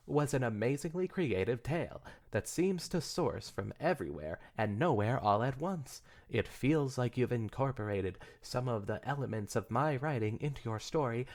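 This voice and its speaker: A man's voice: mid-range voice, lightly acted. A man voicing a composed, candid female pony novelist who gives articulate praise in a fanfiction reading.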